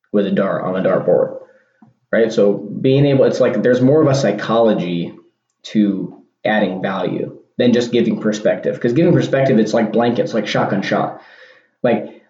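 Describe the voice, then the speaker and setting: A man hosting a casual solo podcast, rambling with restarts and punchy asides like "boom" and "bam". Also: dark tone. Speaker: podcast host. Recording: dull lo-fi sound in an echoey room.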